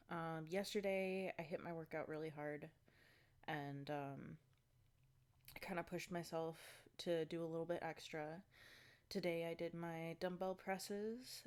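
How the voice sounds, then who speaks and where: Low-voiced woman, stuffy and breathless. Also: a little mellow. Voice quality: congested, stuffy nose. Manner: chatty, rambling, many ums and likes. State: slightly out of breath. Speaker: fitness vlogger. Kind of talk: casual video diary.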